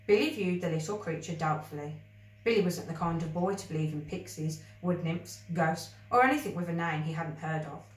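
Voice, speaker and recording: A woman reading a children's story aloud in an expressive, scripted storybook style. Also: on the low side, fairly quick. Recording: echoey room, distant mic.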